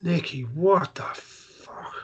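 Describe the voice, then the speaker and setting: Muffled, slow, bitter drunken widower, played by a man. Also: a little high, lively intonation, slightly rough, whispered, exaggerated voice. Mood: stunned. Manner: curt, sweary. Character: grieving, drunken, bitter widower, lashing out at his sister. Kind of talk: informal script table read.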